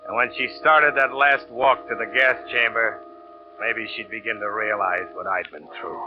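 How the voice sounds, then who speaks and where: An actor playing a scheming, vengeful lover in a 1940s radio thriller, narrating in a tense, hard-boiled way. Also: male voice, medium pitch. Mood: bitter, vindictive. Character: scheming, vengeful male lover in a murder plot, bitter and desperate. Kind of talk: 1940s radio suspense acting, first-person narration. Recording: dull old radio recording with music.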